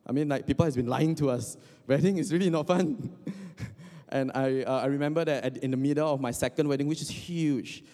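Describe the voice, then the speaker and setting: A man's voice: on the high side, fairly quick, dark tone. A young man from Indonesia giving a casual, joking sermon, full of fillers and restarts. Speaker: preacher. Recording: long hall reverb.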